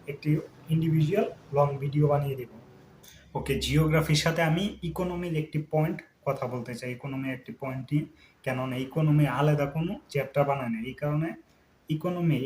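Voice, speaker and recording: A man's voice: a little high, a little mellow. A man hosting an informal explainer, talking conversationally and mixing in English words and check-in tags. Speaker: YouTube host. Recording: echoey room, faint background noise.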